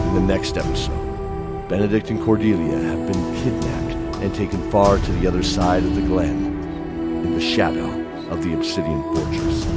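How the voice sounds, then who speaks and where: Deep-voiced man narrating dramatic fantasy. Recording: loud music under the voice.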